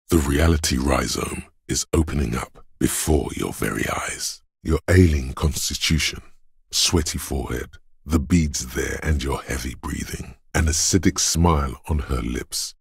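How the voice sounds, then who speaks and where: Very deep, gravelly, grim inner voice. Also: male voice, no echo, clean studio sound, full character voice. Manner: slow, weighty, ominous. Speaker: video game voice actor. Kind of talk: scripted second-person game narration.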